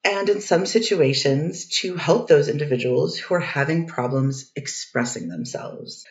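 A woman's voice: deep voice, expressive, measured pace. Woman playing a defense lawyer, methodical questioning, distant roomy sound, dull muffled recording. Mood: steady. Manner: methodical cross-examining questions. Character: poised woman defense lawyer cross-examining. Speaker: defense attorney. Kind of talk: readers theater courtroom reading.

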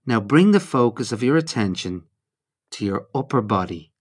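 A man narrating a guided mindfulness meditation in a calm, measured, instructional way, with short phrases and pauses. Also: mid-range voice, slightly rough.

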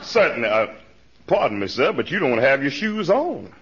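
A man playing a lively, deferential radio valet. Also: medium pitch, moderate pace, a crowd in the background, close to an everyday voice. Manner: courteous, formal servant's delivery. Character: polite, deferential hired valet. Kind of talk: 1940s radio comedy acting. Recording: dull vintage radio recording, audience noise.

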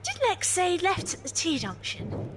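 A woman's voice: very high-pitched, wildly swooping pitch, measured pace, slight background noise, exaggerated voice. A woman playing a spirited young adventurer from 1930s England in an amateur audio drama, British, prim and teasing.

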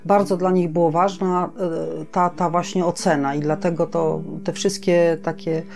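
A woman's voice: on the low side, level tone, normal speed, darkish tone, faint music behind. A woman co-hosting a podcast in casual conversation, rambling a little and repeating words as she thinks aloud. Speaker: podcast co-host.

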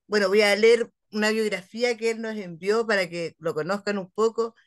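A woman's voice: medium pitch, fairly even intonation, normal speed. A woman in her forties with a Chilean accent, interviewing casually over a video call from home. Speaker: interviewer, host. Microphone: computer mic on a video call.

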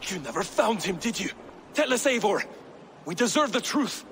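A man's voice: high-pitched, sing-song, moderate pace, a bit bright. A man playing a suspicious, hot-headed Viking in a video game, confronting someone in a pointed, accusing way. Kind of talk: dramatic video game cutscene acting. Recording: noisy ambience behind the voice.

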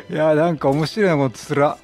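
A man's voice: fairly high pitch, huge pitch swings, measured pace, gravelly, soft background music. A talkative man doing chatty, reactive game commentary as a let's play streamer, exclaiming and reading game text aloud.

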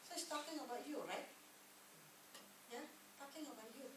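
Middle-aged woman preaching, high-ish voice, keeps asking amen?, far off in an echoey church room. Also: normal speed, heavy background noise. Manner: call-and-response, repeats key words, asks the room "Amen?". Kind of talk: sermon. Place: church meeting room with a projector screen. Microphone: distant camera mic.

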